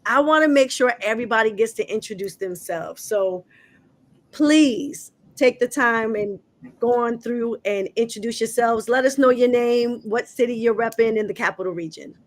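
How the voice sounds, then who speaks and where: A woman's voice: a little high, moderate pace. A woman hosting a community talk show with a group of young people, speaking in a conversational way with frequent "um"s. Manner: warm, affirming, conversational with many "um"s. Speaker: host. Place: indoor room with a group.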